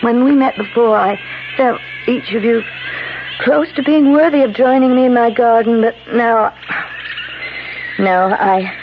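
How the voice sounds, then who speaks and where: An actress playing an enchanting, gently stern guardian woman in a 1970s radio drama, measured, grave and regretful. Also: a little high, lightly acted. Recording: dull, noisy 1970s radio recording.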